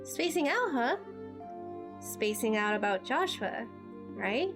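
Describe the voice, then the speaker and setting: A woman doing amateur game voice acting as a bubbly, friendly, excitable young female fighter with a perky, informal manner. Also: a little high, slow, over music. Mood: concerned. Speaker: amateur voice actor playing through a game.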